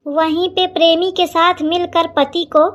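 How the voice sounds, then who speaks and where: बहुत ऊँची आवाज़ में कथा सुनाती महिला. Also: female voice, very high voice, flat delivery, unhurried. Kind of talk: scripted comic narration, mock warning.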